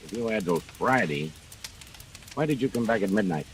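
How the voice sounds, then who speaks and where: An actor playing an unflappable police captain who questions in a clipped, businesslike way in an old-time radio mystery. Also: male voice, on the low side, lively intonation, normal speed, slight background noise, lightly acted. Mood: probing. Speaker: police investigator.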